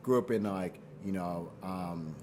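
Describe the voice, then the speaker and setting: A man with a low voice speaks in a casual interview over clearly audible room noise. He is earnest and slangy, circling back on phrases to drive a point home. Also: measured pace. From Boston and Nigeria. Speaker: fashion brand founder, interview guest.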